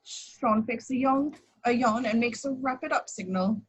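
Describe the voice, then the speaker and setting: A woman's voice: a little high, measured pace, slightly rough, rough recording. A woman reading stage directions as plain scripted narration at an informal table read. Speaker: action-description reader.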